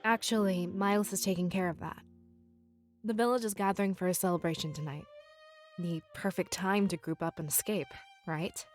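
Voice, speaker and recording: A woman's voice: fairly low pitch, steady tone, normal speed, soft background music, lightly acted. A woman voicing a practical, guarded beast-folk companion in a visual novel game, with a matter-of-fact manner. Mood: brisk, practical.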